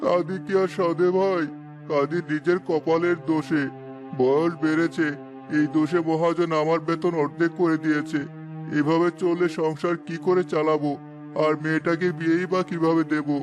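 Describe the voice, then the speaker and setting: Cartoon role: humble old labourer played by a man, plaintive, slightly raised pitch, music underneath. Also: level tone, normal speed, close to an everyday voice. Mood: despairing. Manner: plaintive, pleading. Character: weary poor old village labourer, humble father. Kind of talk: Bangla cartoon story dialogue.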